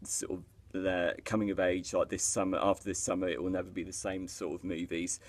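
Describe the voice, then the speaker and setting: Young film vlog host, low voice, chatty, filler-heavy. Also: male voice, little pitch movement, a little fast. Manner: chatty, laughs often, many fillers. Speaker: YouTube film review host. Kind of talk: casual film review chat.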